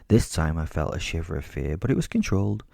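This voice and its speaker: Deep, cheeky werewolf storyteller played by a man. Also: animated, fast, vocal fry, warm tone, no echo, clean studio sound, heavily acted. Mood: amused suspense. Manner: conversational, wry, casually sweary. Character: bored, cheeky, foul-mouthed male werewolf recounting his hunt. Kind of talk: first-person dark comedy audio drama narration.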